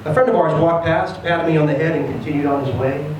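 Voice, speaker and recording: A man giving an expressive, theatrical reading of a first-person short story. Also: on the high side, fast talker, highs cut off. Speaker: reader of a short story at a live event. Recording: very echoey room, distant mic, noisy.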